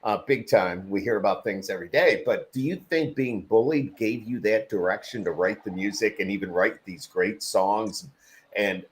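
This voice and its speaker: A man hosting a podcast interview, speaking slowly and rambling, with lots of small encouraging replies. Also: on the low side. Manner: slow, rambling, with many backchannels. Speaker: podcast host. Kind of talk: casual interview conversation.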